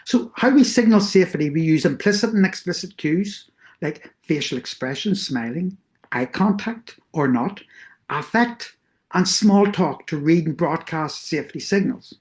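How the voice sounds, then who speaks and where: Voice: mid-range voice, expressive, measured pace, darkish tone. Earnest Northern Irish presenter. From Northern Ireland. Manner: earnest, explanatory, self-correcting. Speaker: therapist presenting doctoral research. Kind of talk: academic workshop presentation.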